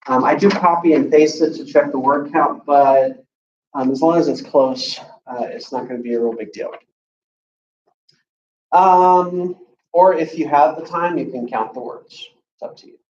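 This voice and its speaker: A man's voice: a little high, unhurried, a little mellow. Echoey, distant American man teaching class. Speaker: college English instructor. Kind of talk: informal classroom explanation of an assignment. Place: classroom with students. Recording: echoey room, distant muffled mic.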